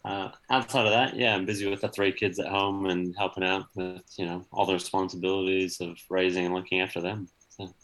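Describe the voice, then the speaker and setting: Us guy in his 30s, really deep voice, laid back and jokey, guest on a podcast video call. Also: flat delivery, a little fast, fairly bright tone, rough recording. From small town in Oklahoma, USA. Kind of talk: casual interview chat.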